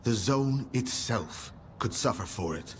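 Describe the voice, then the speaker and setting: A man's voice: mid-range voice, animated, measured pace, close to an everyday voice. A man playing a wry, scheming stalker ringleader in a game cutscene, confident and theatrical. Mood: cool, knowing. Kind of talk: dramatic game cutscene acting. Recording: noisy ambience with gunfire.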